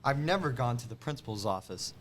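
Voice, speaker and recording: A teenage young man playing a flustered, nerdy straight-A high school boy in an amateur comedy cold read. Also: lively intonation, quick pace, lightly acted. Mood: flustered, protesting. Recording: noisy room, off mic, clicks.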